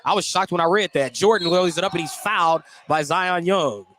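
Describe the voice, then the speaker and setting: A man's voice: high voice, brisk, a bit bright. An American man giving live radio play-by-play commentary as a basketball announcer. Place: indoor high school basketball gym. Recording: clearly noisy live gym broadcast.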